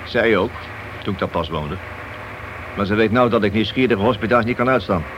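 An actor playing a hard-nosed, cynical police detective in a Dutch radio play, blunt, needling and sardonic in manner. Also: male voice, on the low side, a little fast, dull audio, lightly acted. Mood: brusque. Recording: noisy, hissy old radio drama recording.

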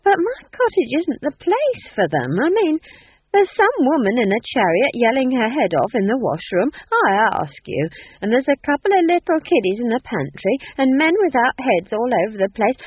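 Woman doing a rambling, earthy old witch, very animated. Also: high-pitched, husky, dry recording, full character voice. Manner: rambling, colloquial, exclamatory. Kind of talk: audiobook character voice. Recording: thin, phone-like band-limited audio.

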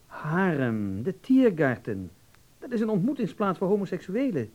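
Flamboyant dandy, high swooping voice. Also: male voice, big pitch swings, normal speed, a little mellow, highs cut off. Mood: mocking, arch. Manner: arch, drawling, mockingly patronizing. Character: flamboyant, worldly young dandy, vain and teasing. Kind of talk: radio play acting.

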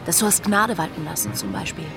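A woman's voice: medium pitch, quick pace, creaky, lightly acted. An actress playing a bold, level-headed female pirate in a radio drama, speaking in an assertive, pointed and playful way. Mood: reasoning, dry. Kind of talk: German radio drama acting. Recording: studio radio play with music behind.

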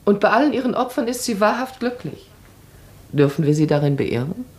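An actress playing a self-assured, perceptive baroness in a radio play, speaking decisively, eloquently and with emphasis. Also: female voice, medium pitch, animated, a little fast, natural voice. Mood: insistent, impassioned.

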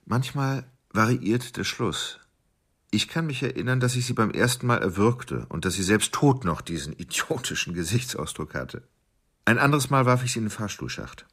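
An actor playing a punctilious, irritable married man in a radio drama monologue, husky and clipped, seething under his orderly manner. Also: fairly low pitch, expressive, moderate pace, a bit bright, heavily acted. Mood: agitated, troubled. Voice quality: gravelly, husky, breaking into whispers.

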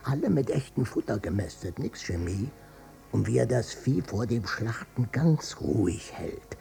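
Radio drama son, watchful and brooding, creaky voice that swings widely between murmur and outburst. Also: male voice, mid-range voice, lively intonation, measured pace, dark tone, soft background music. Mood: detached, observing. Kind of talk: radio drama interior monologue.

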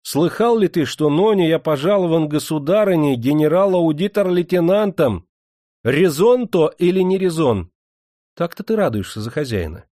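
A man voicing a vain, spendthrift young nobleman and officer in a historical audiobook, imperious and excitable. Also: mid-range voice, moderate pace, few pauses, dry recording, clean studio sound. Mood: proud, scolding. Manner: haughty, commanding, excitable.

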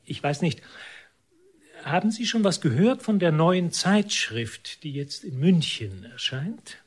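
A man's voice: on the high side, big pitch swings, slow, husky, exaggerated voice. A man playing a self-important, ingratiating visitor in a radio drama, speaking in a formal, polite and pompous manner.

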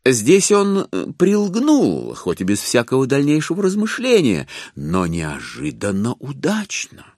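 A man narrating classic literature in an audio drama, with a wry, unhurried storytelling manner. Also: mid-range voice, wildly swooping pitch, creaky, a little mellow, no room sound. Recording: dry studio, faint music behind.

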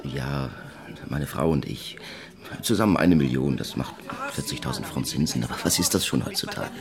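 An actor playing an ambitious, evasive young French husband on the rise in a German radio play, with a halting, intimate delivery. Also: male voice, a bass voice, a crowd in the background. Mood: smooth, evasive.

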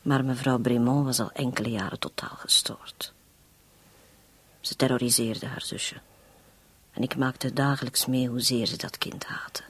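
An actress in a radio drama playing a long-suffering, resolute woman making a confession, her voice breathy and husky, her delivery halting and intense. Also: a bass voice, fairly even intonation, fairly bright tone, in a whisper, exaggerated voice. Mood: grave, bitter.

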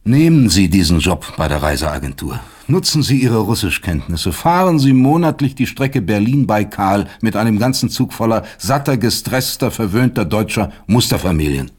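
An actor in a German radio play playing a proud, bitter older East German professor of psychiatry, articulate, lecturing and sardonic. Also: male voice, on the low side, very animated, normal speed, hardly any pauses, a little mellow, slightly rough. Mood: exasperated, scornful.

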